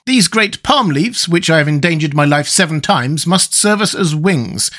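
A man's voice: high voice, sing-song, brisk, fairly bright tone, pitched above the performer's own voice, full character voice. A man voicing a fussy, self-assured storybook tin man who speaks in a formal, precise, opinionated way. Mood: self-satisfied.

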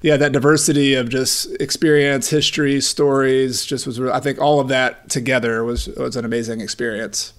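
A man's voice: medium pitch, level tone, normal speed, few pauses. A middle-aged man speaking as a podcast guest, telling personal stories in a casual, conversational way. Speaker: podcast guest, real estate investor and author. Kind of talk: casual chat, personal storytelling.

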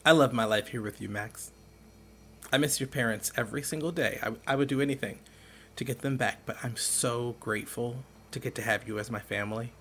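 A man playing a caring, teasing middle-aged uncle in a naturalistic audio drama, speaking in a gentle, wry, reassuring way. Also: medium pitch, fairly quick, a little hiss or hum, close to an everyday voice. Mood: tender.

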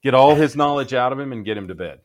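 A man co-hosting a casual show, tossing in joking asides and quick remarks. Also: mid-range voice, lively intonation. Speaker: podcast co-host. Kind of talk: casual banter.

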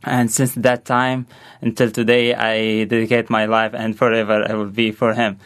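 A man with a Syrian Arabic accent, a guest answering interview questions haltingly and searching for words. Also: fairly low pitch, little pitch movement, fairly bright tone, clear, resonant tone.

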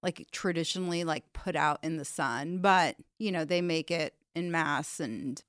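Brief: woman comic, Valley accent, breezy, lowish voice, dry mic. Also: measured pace, fairly bright tone, no echo. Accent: Southern California Valley. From born in Iran, raised in the Valley. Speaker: stand-up comedian, guest. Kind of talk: casual chat.

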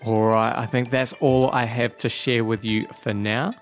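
New Zealand male host, mid-pitched, easygoing and upbeat, light music bed. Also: little pitch movement, a little slow. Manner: friendly, upbeat, casual. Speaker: podcast host. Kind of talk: podcast intro and interview.

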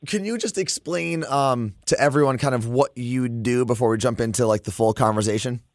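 A man hosting a fitness podcast in a casual, rambling, upbeat way, his voice a little hoarse. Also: mid-range voice, a little fast, no room sound, studio quality. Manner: rambling, upbeat, self-deprecating. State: losing his voice, a bit hoarse. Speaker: podcast host.

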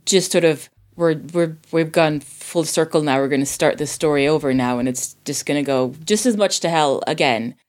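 A woman from Sweden, a native Swedish speaker, casually discussing a film in a thoughtful way, often pausing to rephrase. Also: sits low, darkish tone. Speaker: podcast guest reviewing a film.

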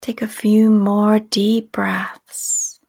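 A woman leading a guided meditation in a soft, often whispery voice, calm and soothing, with long unhurried pauses. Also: medium pitch, vocal fry. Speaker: meditation guide and podcast host. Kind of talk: guided meditation, slow scripted narration.

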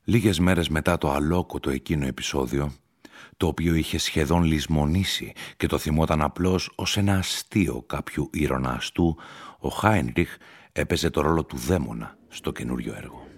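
A man's voice: extremely low pitch, husky. A man reading a literary story as narrator, in a dramatic, scripted storytelling style. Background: music.

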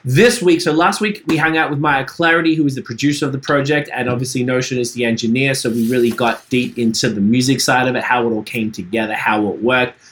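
A man in his thirties with a Trinidadian accent, hosting a casual podcast chat, relaxed and laughing, swearing freely. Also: medium pitch, fast talker, few pauses, slight background noise. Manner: laid-back, swears freely, laughs often. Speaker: podcast host and musician.

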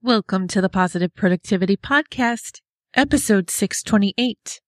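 A woman's voice: mid-range voice, moderate pace. A woman hosting a podcast, upbeat and chatty as she opens the show and greets her guest. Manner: upbeat, chatty, self-deprecating. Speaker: podcast host. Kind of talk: podcast intro and interview.

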